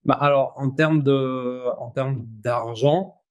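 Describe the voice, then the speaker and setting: A man with an Argentine accent, talking casually as an interview guest, relaxed and slangy, with frequent fillers and restarts. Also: mid-range voice, slow, no echo, lo-fi sound. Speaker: guest, company founder.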